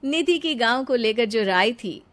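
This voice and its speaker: A woman's voice: a little high, animated, moderate pace, fairly bright tone. Female narrator for a scripted moral tale, storytelling delivery.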